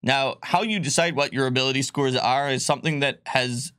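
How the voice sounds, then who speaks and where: A man co-hosts a casual livestream, talking through game rules at an average pitch. He rambles and corrects himself as he goes, with plenty of ums. His voice sounds close and dry, with no room around it. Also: fairly quick, a bit bright, dry recording. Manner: loose and rambling, with many ums and self-corrections. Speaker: co-host presenting game rules.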